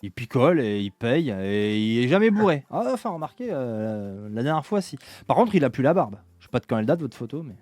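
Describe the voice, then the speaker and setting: A nosy, talkative barkeeper, played by a man in a tabletop game, blunt and jokey, rambling from one remark to the next. The voice sits at a middling pitch and sounds close and dry, with soft music under it. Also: very animated, fairly quick, hardly any pauses, no room sound, lightly acted. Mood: gossipy. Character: chatty middle-aged male barkeeper, nosy.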